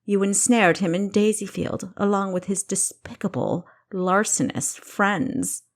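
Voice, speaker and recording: Woman voicing a slow, commanding, wicked king. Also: mid-range voice, lightly acted. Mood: imperious. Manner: commanding, mocking, deliberate. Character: wicked, commanding king, male, menacing and teasing. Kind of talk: audiobook character dialogue.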